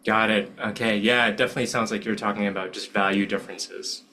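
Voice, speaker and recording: Low-voiced male host asking probing questions. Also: steady tone, fast talker, pushed and bright, a little hiss or hum. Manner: probing, checks in with "right?". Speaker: podcast host. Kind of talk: casual interview questions.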